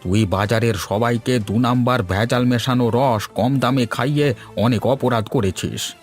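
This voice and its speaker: A man's voice: medium pitch, moderate pace, soft background music, lightly acted. A man voicing a stern, indignant middle-aged village elder in a cartoon fairy tale, scolding as he speaks. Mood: stern, reproachful.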